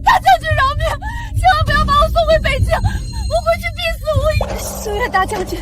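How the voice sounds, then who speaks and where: A woman's voice: very high-pitched, big pitch swings, fast, crowd noise. An actress playing a scheming villainess general in a costume short drama, imperious and histrionic, with music behind her. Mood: panicked, pleading. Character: scheming, defiant villainess general pleading and protesting shrilly. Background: dramatic background music.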